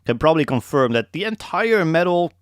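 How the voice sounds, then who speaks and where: A man's voice: fairly high pitch, big pitch swings, fairly quick, no echo, studio quality. A young man from the Netherlands with a Dutch accent, hosting a casual live coaching call and hammering home his points with repetition. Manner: emphatic, repeats key points, calls listeners man.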